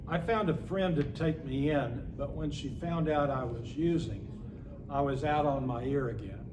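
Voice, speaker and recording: A man's voice: mid-range voice, normal speed, natural voice. A man playing a wry homeless drug user and academic of thirty-four in a readers theatre reading. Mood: wry, matter-of-fact. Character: wry 34-year-old homeless man, meth-using adjunct professor. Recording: echoey room with clear background noise.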